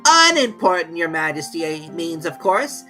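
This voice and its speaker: A woman voicing a nervous, hurried male rabbit herald who speaks respectfully but in a fluster. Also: on the low side, big pitch swings, bright, forceful tone, soft background music, voice pushed up.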